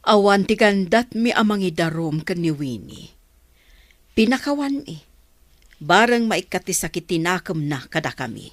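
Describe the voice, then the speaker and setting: A woman's voice: on the low side, very animated, moderate pace. A woman playing a forgiving, hospitable wife and neighbour in a radio drama. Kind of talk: Ilocano radio drama acting.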